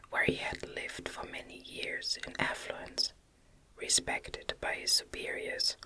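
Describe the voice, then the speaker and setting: A woman reads a gothic novel as narrator in a breathy ASMR whisper, with a gentle, measured delivery. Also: rough recording. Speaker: audiobook narrator.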